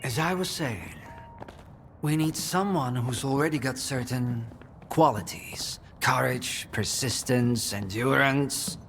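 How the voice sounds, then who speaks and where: A composed, calculating game scientist with a mid-pitched voice and slow delivery. He sounds condescending, over faint background noise. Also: a bit bright. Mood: measured, persuasive. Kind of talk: video game cutscene acting.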